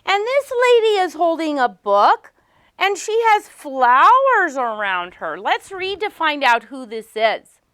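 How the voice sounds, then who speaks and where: Woman, teacher reading to small children, bright voice, slow delivery, simple questions, emphatic repetition, close dry sound. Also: high-pitched, sing-song, no room sound. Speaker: teacher reading aloud to young pupils. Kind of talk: interactive storybook read-aloud lesson.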